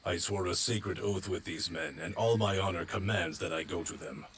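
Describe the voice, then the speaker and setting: An actor playing a loyal, honor-bound warrior general in a video game, speaking in a solemn, formal, declamatory way. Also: male voice, low-pitched, moderate pace, gravelly, slight background noise. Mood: solemn, respectful. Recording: loud music over the voice.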